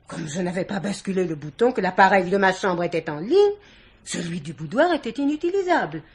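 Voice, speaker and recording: An actress playing an assertive society hostess in a vintage French radio mystery, haughty, chatty and insistent. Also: female voice, fairly low pitch, big pitch swings, brisk, a little hiss or hum. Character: assertive society hostess, a young woman's aunt. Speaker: actor.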